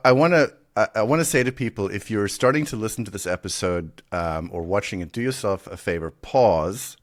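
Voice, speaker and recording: Deep-voiced South African man with American twang. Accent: South African with American influence. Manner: animated, laughing, restarts sentences often. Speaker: podcast host. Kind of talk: casual interview chat.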